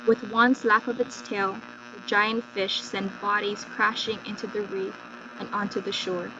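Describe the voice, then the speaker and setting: A woman's voice: medium pitch, flat delivery, a little slow. A woman storyteller giving a scripted, dramatic narration of a folktale. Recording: dull lo-fi recording with loud mains hum.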